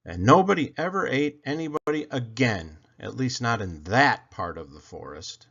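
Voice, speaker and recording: A man's voice: medium pitch, animated, normal speed, creaky, fairly bright tone, breathy edge, lo-fi sound. A man reading a children's story aloud expressively, leaning dramatically on key words. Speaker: storybook reader.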